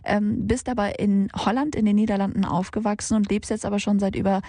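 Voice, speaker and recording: A young adult woman hosts a radio talk show from a dry, close-miked studio. She talks in a friendly, conversational way and leans on ähm and äh between thoughts. Her pitch sits in the middle range. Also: little pitch movement, brisk, dry recording. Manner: warm conversational, many fillers. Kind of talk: radio interview.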